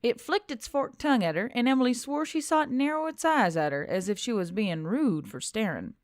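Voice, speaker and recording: A woman reading scripted fiction as an expressive story narrator. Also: fairly high pitch, brisk, no echo. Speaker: audiobook narrator.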